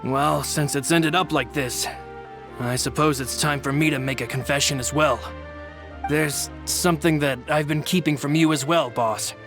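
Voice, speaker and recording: A man acting a loyal, reassuring aide in a video game, with a measured, polite delivery. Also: fairly high pitch, fairly even intonation, over music, natural voice. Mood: calm, reassuring.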